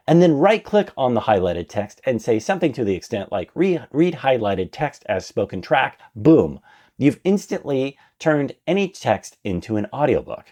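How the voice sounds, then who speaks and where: A man's voice: lowish voice, darkish tone. A man reading his own prepared essay aloud as a podcast narrator, with an emphatic, enthusiastic delivery. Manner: refers to himself as "we".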